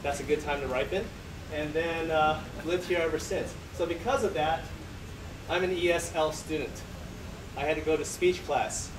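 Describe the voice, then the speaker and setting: A man whose first language is Chinese gives a self-deprecating conference talk, stumbling over fillers and cracking jokes. His voice sits slightly high, picked up from far off in an echoey hall with noticeable room noise. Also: steady tone, normal speed. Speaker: conference presenter.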